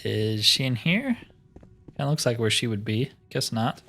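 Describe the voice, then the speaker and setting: Man, mid-pitched, casual let's play host who thinks aloud and questions himself, music underneath. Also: very animated, normal speed, vocal fry, fairly bright tone. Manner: musing, talks to himself in questions. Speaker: host of a gaming roleplay series. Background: music behind the voice.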